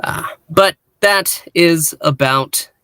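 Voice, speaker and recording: A man hosting a casual review discussion, speaking in a halting way with repeated false starts. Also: fairly high pitch, pushed and bright, clean tone, no room sound. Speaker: podcast host and reviewer.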